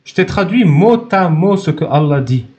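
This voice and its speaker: A man runs a live debate in a blunt, hammering way. His voice sits fairly high and leaps up and down with lively swings. He presses every point with emphatic force. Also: normal speed, highs cut off. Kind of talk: heated live-stream debate.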